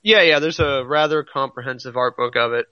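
A man's voice: mid-range voice, a little fast, pushed and bright, dry recording, lo-fi sound. A man in a casual podcast discussion of manga, rambling a little as he thinks aloud. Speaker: podcast co-host.

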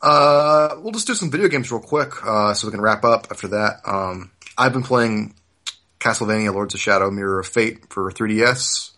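A man's voice: lowish voice, animated, normal speed, bright, forceful tone. A man in his thirties hosting a casual podcast, explaining things in an informal way. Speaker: podcast host.

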